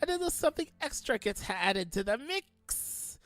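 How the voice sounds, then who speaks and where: Shrill, manic, cackling villain. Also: male voice, very animated, moderate pace, a bit bright, dry recording, exaggerated voice. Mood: gleeful mockery. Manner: theatrical, cackling, shrieking. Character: gleefully mad, theatrical male villain who heckles. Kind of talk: fan audio drama acting.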